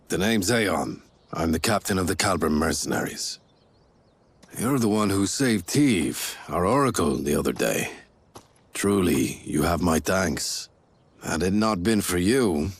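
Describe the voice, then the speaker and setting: A man playing a courteous, dutiful mercenary captain in a video game, speaking in an earnest, measured way. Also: deep voice. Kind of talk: video game cutscene acting.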